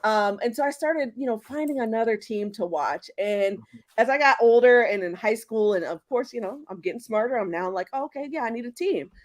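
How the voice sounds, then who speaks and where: A woman's voice: fairly high pitch, lively intonation, a little fast. A woman from Houston hosting a sports podcast, chatting casually with lots of fillers and restarts. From Houston, Texas. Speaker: podcast host.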